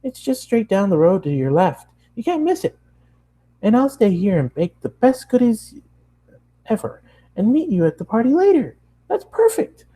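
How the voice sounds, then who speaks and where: A man acts out an excitable young cartoon pony girl, pitched far up from a natural speaking voice. The delivery is gushing, exclamatory chatter, bubbly and eager to please. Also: normal speed, exaggerated voice. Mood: excited.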